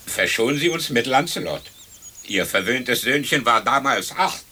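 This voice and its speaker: Grumbling skinny ghost, querulous, bright cutting voice, lively pitch, radio drama. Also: male voice, normal speed. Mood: dryly dismissive. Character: skinny, grumbling long-dead man hanging in a tree. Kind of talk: German radio play acting.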